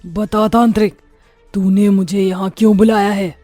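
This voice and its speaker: Woman doing a taunting man-eating witch, dark mellow voice, horror story style, music behind. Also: medium pitch, little pitch movement, dry recording, lightly acted. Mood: angry, threatening. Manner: taunting, theatrical, drawn-out threats. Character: boastful, menacing man-eating long-legged witch. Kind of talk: dramatized Hindi horror story acting.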